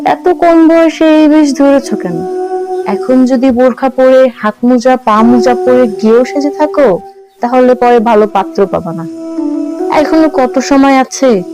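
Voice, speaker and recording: A woman's voice: high-pitched, a little slow, music in the background, rough recording. A woman voicing an opinionated middle-aged aunt in a cartoon, talking in a blunt, colloquial, advising way. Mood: disapproving. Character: opinionated middle-aged aunt, nagging but easily won over. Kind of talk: cartoon dialogue.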